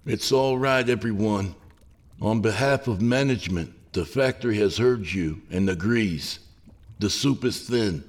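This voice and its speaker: A man voicing a calm, resigned factory cook who speaks in a soothing, formal way, in a story reading. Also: lowish voice, unhurried, pitched above the performer's own voice.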